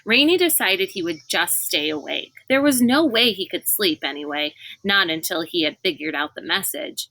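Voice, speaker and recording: A woman's voice: on the low side, lively intonation, moderate pace, few pauses, bright, forceful tone, no echo, rough recording. A woman reading a children's novel aloud as scripted narration. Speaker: storybook reader.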